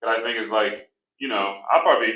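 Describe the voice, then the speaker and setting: A man's voice: lowish voice, quick pace, sharp tone. A talkative man hosting a casual chat, rattling on in slangy, profane speech. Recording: roomy echo.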